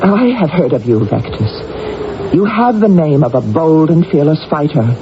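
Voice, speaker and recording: A woman's voice: low voice, expressive, a little slow, noisy background. An actress playing a proud, vengeful warrior queen of ancient Britain in an imperious, theatrical radio drama style. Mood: commanding, defiant. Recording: dull vintage radio broadcast recording.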